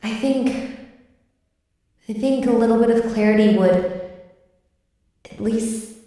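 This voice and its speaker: Woman as worried hedgehog girl, soft and echoey. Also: a little high, slow pace. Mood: worried, pleading. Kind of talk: dramatic audio drama dialogue. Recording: very echoey, distant from the mic.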